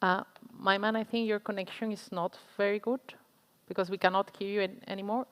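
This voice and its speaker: A woman's voice: medium pitch, fairly even intonation, a little fast, clear, resonant tone. A woman moderating an online event, speaking politely and a little hesitantly. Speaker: session moderator. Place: online conference call. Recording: reverberant online call audio.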